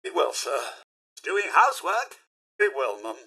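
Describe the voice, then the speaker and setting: Swooping, pompous British robot butler. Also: male voice, medium pitch, sing-song, moderate pace, vocal fry, sharp tone, slightly rough, exaggerated voice. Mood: weary, resigned. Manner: pompous, florid, theatrically courteous. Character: polite, fussy male robot butler, droll and long-suffering. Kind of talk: video game character voice lines.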